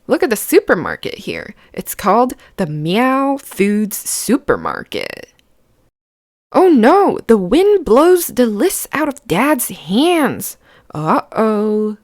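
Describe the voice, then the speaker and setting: A woman's voice: fairly high pitch, wildly swooping pitch, takes it slowly, darkish tone, no room sound, studio quality. A woman reading a picture book aloud to children, explaining simply and putting questions to the listener. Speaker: children's read-aloud host.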